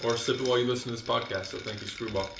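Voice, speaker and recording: A man's voice: medium pitch, steady tone, rapid-fire, gravelly. A man hosting a casual sports podcast, laid-back and crude in his joking ad reads. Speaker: podcast host. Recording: echoey room, dull lo-fi sound, faint hiss.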